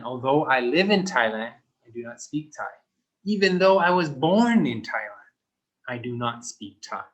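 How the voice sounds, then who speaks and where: A man teaching a grammar lesson to young learners, speaking simply and deliberately. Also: medium pitch, a bit bright, poor audio. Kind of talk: slow, deliberate recorded English lesson.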